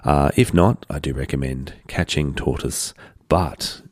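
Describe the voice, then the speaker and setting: A man hosting a community radio music show, relaxed and rambling with lots of fillers, sounding congested from a head cold. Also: a bass voice, unhurried, no echo, studio quality. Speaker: radio host. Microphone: studio broadcast mic.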